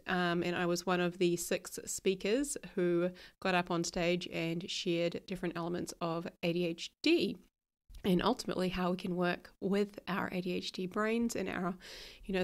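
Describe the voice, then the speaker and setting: Kiwi woman podcasting, bit nasally from a cold, chatty and blunt, swears a little. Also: lowish voice. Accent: New Zealand. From New Zealand. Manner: frank and chatty, swears casually, laughs often. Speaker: podcast host. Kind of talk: casual podcast monologue.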